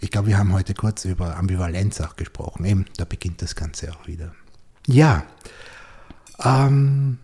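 Low, husky man hosting. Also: moderate pace, mellow. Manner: reflective, thinking aloud. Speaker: podcast host. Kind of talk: conversational interview.

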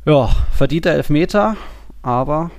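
A man's voice: on the high side, animated, unhurried, darkish tone, dry recording, clean studio sound. A man co-hosting a podcast, talking sport in a casual, colloquial and slangy way. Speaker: football podcast co-host.

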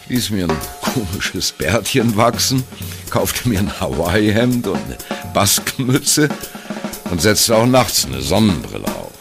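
Old-school jazz musician telling his life story, super low creaky voice, wry n self-deprecating, radio play. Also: very animated, a little slow, over music, exaggerated voice. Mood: wry reminiscing. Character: male jazz musician wryly recalling his youth. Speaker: narrator of an audio drama. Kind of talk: first-person radio play monologue, storytelling.

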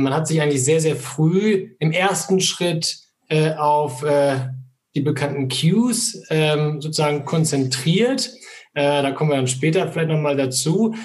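A middle-aged man answering interview questions about his firm, measured and full of fillers. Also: on the high side. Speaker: asset manager guest.

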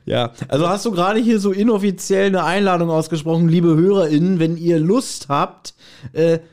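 Ein Mann, der als Podcast-Host locker und gesprächig plaudert, scherzhaft und selbstironisch. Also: male voice, high-pitched, moderate pace, a little mellow. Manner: chatty, self-mocking, jokey. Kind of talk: casual, joking podcast chat.

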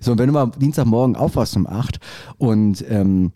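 A man's voice: mid-range voice, normal speed, mellow, no echo, studio quality. A young man co-hosting a podcast, talking casually in long rambling turns with stammered restarts and cheeky jokes. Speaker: podcast co-host. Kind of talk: casual chat.

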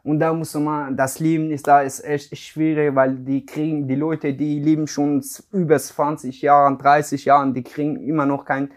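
A man's voice: fairly high pitch, level tone, moderate pace, few pauses. Young man, hesitant German. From Afghanistan. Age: 20s. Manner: hesitant, searching for words. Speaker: guest. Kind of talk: casual interview talk.

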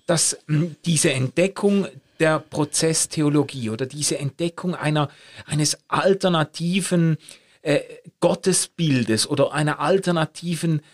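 Male interviewer, slightly higher voice, curious, rephrases his questions. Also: measured pace. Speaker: interviewer, host. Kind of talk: conversational interview.

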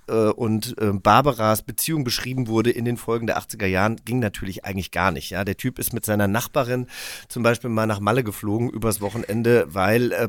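A man's voice: fairly low pitch, dry recording, studio quality. A man co-hosting a podcast, explaining things in a casual, informed way with somewhat hesitant phrasing. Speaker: podcast co-host. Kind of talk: casual chat. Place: at home, remote from the studio.

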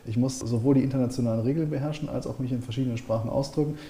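Male interview host, brisk delivery, very warm and dark tone, summarizes and probes. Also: medium pitch, steady tone. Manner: sums up and asks follow-up questions. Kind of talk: conversational interview.

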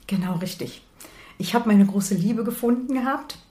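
A woman in her sixties, born in Berlin, recounts her life in a calm, matter-of-fact way. Her voice sits in a middle range. The room gives it a noticeable echo. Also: mid-range voice, normal speed. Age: 60s. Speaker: guest, author and retired nurse. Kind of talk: personal life story in conversation. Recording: roomy echo.

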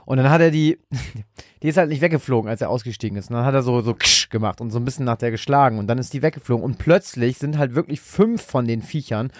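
A man's voice: medium pitch, fast talker, dry recording, studio quality. A man co-hosting a podcast in casual chat, talking loosely and colloquially as if thinking aloud. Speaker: podcast co-host.

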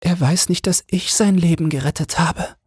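Breathy, high-voiced little mermaid girl acted by a man. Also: steady tone, moderate pace, no echo, clean studio sound. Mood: wistful sorrow. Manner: tender, wistful. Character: gentle, lovelorn, self-sacrificing young mermaid girl. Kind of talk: fairy tale audiobook character voice.

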